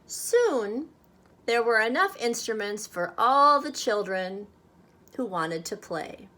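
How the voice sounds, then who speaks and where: A woman reading a children's picture book aloud expressively, with measured, dramatic phrasing meant for young listeners. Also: a little high, lively intonation, pushed and bright. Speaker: storybook reader.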